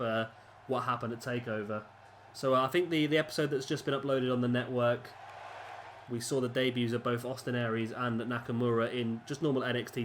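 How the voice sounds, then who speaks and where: A man's voice: mid-range voice, slight background noise. A man, congested from a cold, giving rambling, chatty commentary over a video game. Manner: rambling, chatty, many restarts. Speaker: gaming commentator. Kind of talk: casual gameplay commentary.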